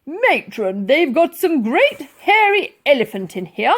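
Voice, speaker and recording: A woman's voice: high voice, very animated, a little slow, a bit bright, voice pushed up, exaggerated voice. A woman voicing a pompous, indignant old male colonel who barks and blusters, from a children's storybook. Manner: blustering, barking.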